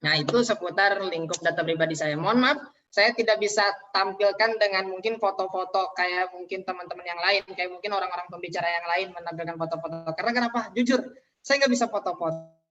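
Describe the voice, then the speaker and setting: A man's voice: high voice, fairly even intonation, fairly quick, sharp tone, dull audio. A man in his twenties, speaking casually from home as a guest speaker in an online seminar, with many fillers and light self-deprecating jokes. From Indonesia. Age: 20s. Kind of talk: online business seminar sharing. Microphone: video call.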